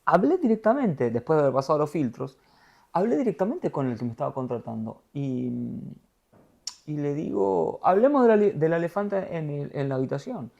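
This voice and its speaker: A middle-aged man from Argentina with an Argentine Spanish accent, a TV news anchor being interviewed, talking in a lively storytelling way. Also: fairly high pitch, moderate pace, vocal fry, muffled recording. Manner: animated storyteller, voseo, acts out quotes. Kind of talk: conversational interview.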